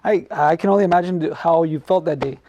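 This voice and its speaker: A man's voice: on the high side, fast talker, dark tone, lo-fi sound. A man hosting a comedic talk show in a casual, joking way, often repeating himself.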